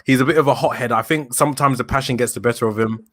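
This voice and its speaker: A young man in his early twenties, a podcast host, calmly building his case in a casual football chat. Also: medium pitch, very fast, dry recording. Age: young adult. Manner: thoughtful, builds an argument with hesitations.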